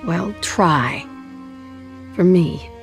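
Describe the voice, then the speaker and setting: Young boy's mother: slightly low, creaky voice, gentle but firm, loving, animated game drama, music underneath. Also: very slow, full character voice. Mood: tender, worried.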